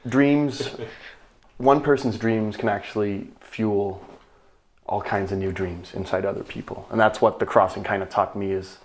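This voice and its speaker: Danish man, English not his first language, fairly low voice, thoughtful and searching, laughs easily. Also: steady tone, measured pace, slight background noise. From Denmark. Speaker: podcast guest, paddler. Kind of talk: casual conversation.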